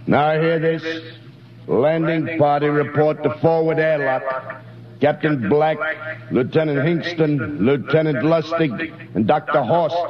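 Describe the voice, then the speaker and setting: A man playing a brisk, dutiful spaceship crewman making formal military announcements in 1950s radio drama style. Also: on the high side, natural voice. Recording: muffled old radio recording, busy background din.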